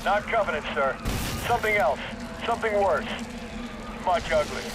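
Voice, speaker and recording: Game soldier: brisk field trooper, raised pitch, clipped reports, noisy combat behind. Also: male voice, animated. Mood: tense. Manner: brisk, terse. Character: urgent male soldiers reporting from the battlefield. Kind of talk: military radio reports, game cinematic acting. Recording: noisy battlefield with gunfire, vehicles.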